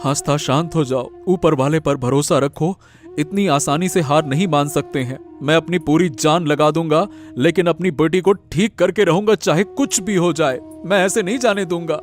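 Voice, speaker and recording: A man's voice: on the high side, normal speed, soft background music, natural voice. A man playing a devoted, anguished village father in a cartoon drama, earnest and emotional. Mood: worried, resolute. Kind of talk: animated moral story drama.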